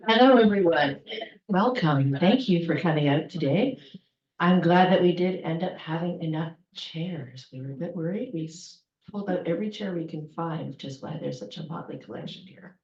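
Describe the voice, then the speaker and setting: A woman's voice: fairly low pitch, normal speed, darkish tone. A woman welcoming people to a public event as its host, chatting informally through announcements with joking asides. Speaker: library event host and outreach coordinator. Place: indoors in a library event room. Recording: roomy, slightly muffled live room recording.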